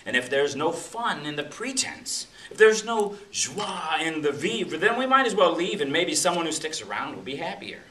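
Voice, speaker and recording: A man's voice: on the high side, sing-song, moderate pace, few pauses, sharp tone. A middle-aged American man performing spoken-word poetry with a dramatic, rhythmic delivery that swells and drops. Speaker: poet reading his own work. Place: public library room at a poetry reading. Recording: distant mic, echoey room, faint hiss.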